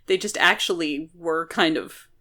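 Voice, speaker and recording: A woman's voice: low voice, measured pace, sharp tone. An American woman co-hosting a podcast in casual discussion, explaining things at length and peppering her sentences with "like". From United States. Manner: explanatory, hedging with frequent "like". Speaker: podcast co-host.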